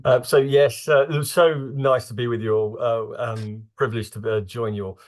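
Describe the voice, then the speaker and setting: A man's voice: mid-range voice, steady tone, moderate pace. A man born in Singapore who speaks as a forum guest, introducing himself with frequent fillers and hesitations. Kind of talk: casual online talk.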